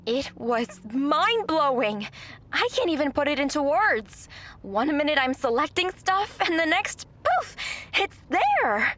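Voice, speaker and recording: An actress playing an excited, gushing woman giving an emphatic testimonial in an audio drama. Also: high voice, heavily acted. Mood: awestruck. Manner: emphatic, gushing, drawn-out key words. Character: gushing female radio interviewee, excited convert to a movement.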